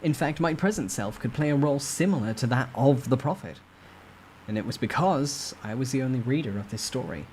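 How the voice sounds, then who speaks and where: Male narrator, mid pitch, lively intonation, theatrical novel reading, faint background noise. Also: a little fast. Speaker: storyteller.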